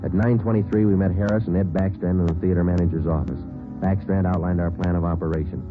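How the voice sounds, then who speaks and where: A man's voice: sits low, steady tone, fast, music in the background, natural voice. An actor playing a terse male police sergeant who narrates the case, clipped and matter-of-fact in 1940s radio drama style. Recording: tinny old radio broadcast.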